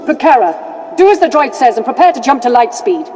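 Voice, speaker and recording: An actress playing a tough, disciplined Imperial lieutenant in a video game, brisk and commanding in a military way. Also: female voice, sits high, animated, over music. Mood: sharp orders.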